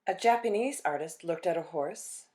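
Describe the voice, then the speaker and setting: A woman reading a children's picture book aloud expressively, phrasing deliberately with dramatic pauses. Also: fairly low pitch, lively intonation, darkish tone. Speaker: children's storytime reader.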